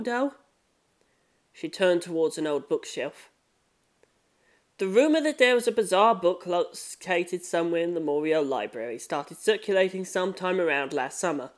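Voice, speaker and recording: Expressive audiobook narrator, mid-range voice. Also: medium pitch. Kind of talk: scripted story narration.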